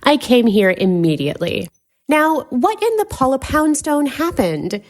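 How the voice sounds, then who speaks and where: A woman's voice: sits high, very animated, measured pace. A woman acting a dramatic, meddling, gossipy friend in a comic audio drama. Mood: urgent gossip.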